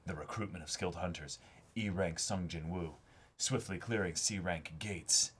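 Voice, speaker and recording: A man with a fairly low voice co-hosts a comic read-along. He reads lines aloud with mock drama and drops casual, profane, joking commentary between them. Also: normal speed, fairly bright tone, a little hiss or hum. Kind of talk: reading comic dialogue aloud with casual reactions.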